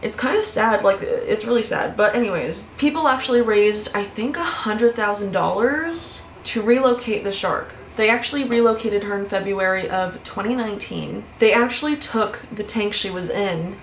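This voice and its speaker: A woman's voice: mid-range voice, normal speed, few pauses, roomy sound, a little hiss or hum. Chatty young woman vlogging from her bedroom. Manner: chatty, animated, swears, laughs often. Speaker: YouTuber. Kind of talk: casual vlog storytelling. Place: bedroom with fairy lights.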